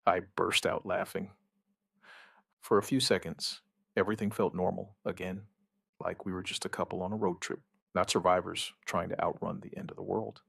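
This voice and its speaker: A man playing an outbreak survivor who gives a wry, weary witness account in an audio drama, in short, halting, understated phrases. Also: low voice, little pitch movement, gravelly, a bit bright, husky, dry recording, clean studio sound, exaggerated voice. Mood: sombre, watchful. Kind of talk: scripted first-person audio drama narration.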